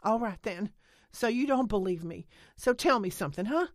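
A woman voicing a stubborn, excitable rural woman who talks in country dialect and rambles defensively. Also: mid-range voice, unhurried, no echo, studio quality, voice pushed up, natural voice. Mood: defiant.

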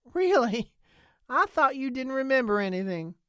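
A woman's voice: mid-range voice, sing-song, unhurried, dry recording, studio quality, pitched above the performer's own voice. A woman voicing a level-headed rural woman who asks blunt, doubtful questions. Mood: incredulous.

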